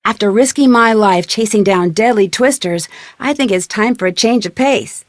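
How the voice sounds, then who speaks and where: A woman's voice: medium pitch, normal speed, no echo, clean studio sound, natural voice. A woman voicing a young female amateur detective in an adventure video game, polite, earnest and curious. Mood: bright, narrating. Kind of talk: scripted adventure game dialogue.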